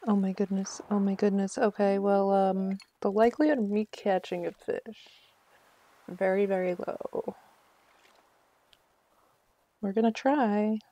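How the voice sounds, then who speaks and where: A woman's voice: medium pitch, steady tone, a little slow, long pauses, warm tone. A woman giving casual, chatty commentary on a live game stream, talking to herself with mock exasperation.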